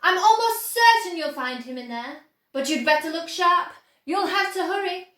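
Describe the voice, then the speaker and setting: A woman voicing an eccentric, flippant man from a children's storybook, brisk and playful. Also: very high voice, expressive, bright, forceful tone, echoey room, voice pushed up, exaggerated voice.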